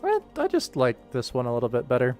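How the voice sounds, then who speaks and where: Male livestreamer, medium register, informal rambling director's commentary, soft game music behind. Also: medium pitch, huge pitch swings, fast talker. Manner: informal, rambling, thinking aloud. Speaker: streamer, animation director. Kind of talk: casual livestream work commentary. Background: game ambient music playing softly.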